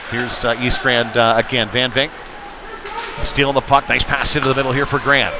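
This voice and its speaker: A man doing live play-by-play commentary of an ice hockey game, following the play in conversational, clipped running phrases. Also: mid-range voice. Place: indoor ice hockey rink. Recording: noisy live rink stream, loud background noise. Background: loud rink noise.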